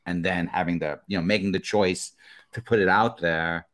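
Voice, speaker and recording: Male show host, middle-aged, deep voice, thoughtful and conversational, on a video call. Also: steady tone, moderate pace, fairly bright tone. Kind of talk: thoughtful casual interview. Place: home room indoors, video call. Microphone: video call mic.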